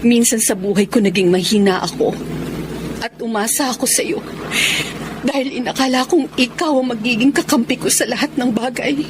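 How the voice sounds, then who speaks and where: An actress playing a wronged, resolute wife who stands up for herself in a Filipino melodrama. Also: female voice, on the high side, moderate pace, noisy background, lightly acted. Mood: resolved, wounded.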